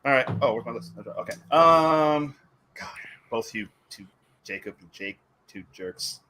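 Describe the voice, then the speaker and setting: Bright-voiced man in casual chat. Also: mid-range voice, lively intonation, measured pace, slight background noise. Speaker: show panelist.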